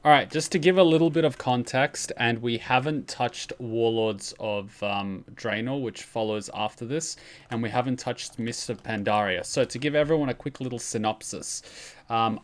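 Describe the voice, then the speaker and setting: Male streamer with a mid-pitched voice. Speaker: streamer, reaction host. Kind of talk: casual commentary. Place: indoor home streaming room.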